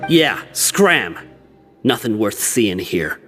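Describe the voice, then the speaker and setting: An actor playing a scornful, threatening prison thug in a video game, with a dismissive manner. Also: male voice, lowish voice, very animated, slow, a bit bright, heavily acted. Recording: long reverb, music behind.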